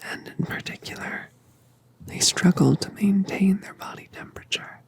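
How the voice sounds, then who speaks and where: A woman voicing a colossal, tender mother dragoness in a whispered ASMR roleplay, speaking softly and soothingly. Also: medium pitch, close to an everyday voice. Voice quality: breathy whisper. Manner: soft, slow, soothing.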